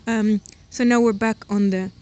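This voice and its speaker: Hesitant woman in an even tone, outdoors. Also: medium pitch, unhurried. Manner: hesitant, informal, thinking aloud while walking. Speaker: host giving a tour of their own garden plot. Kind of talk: casual unscripted walking tour narration. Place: outdoors around a house and garden. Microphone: handheld camera or phone while walking. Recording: noisy outdoor handheld recording, ambient sound.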